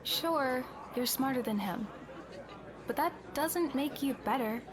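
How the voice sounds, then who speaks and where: A woman's voice: high voice, slow pace, crowd noise. A woman playing a young tavern keeper and confidante who talks bluntly but reassuringly, in a conversational roleplay drama. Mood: firm, candid.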